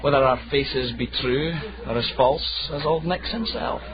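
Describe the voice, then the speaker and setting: An actor playing a sardonic, hard-drinking advocate in a radio drama, wry and theatrically declaiming. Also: male voice, mid-range voice, moderate pace, a crowd in the background, natural voice. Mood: merrily philosophical. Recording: muffled radio recording, crowded tavern.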